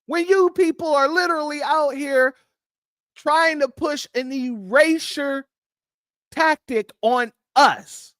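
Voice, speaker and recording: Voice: sits high, takes it slowly, fairly bright tone, dry recording, studio quality. A host reacting to a clip on a livestream, speaking in a heated, emphatic way. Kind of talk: livestream commentary.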